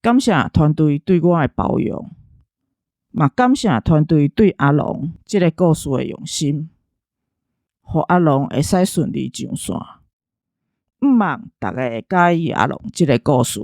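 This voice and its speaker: A woman's voice: deep voice, lively intonation, unhurried, darkish tone, no echo, studio quality. A woman with a Taiwanese accent, an amateur voice actor, giving a casual thank-you talk to listeners. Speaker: amateur voice actor on an audio drama team.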